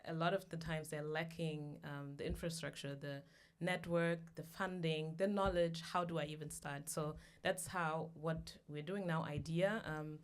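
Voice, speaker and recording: A low-pitched woman from Germany speaks as a guest on a conversational podcast. Her delivery is thoughtful and measured, and she often pauses with "um" while she gathers her ideas. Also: fairly even intonation, clear, resonant tone. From Germany (Hamburg). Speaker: guest, founder of a business platform.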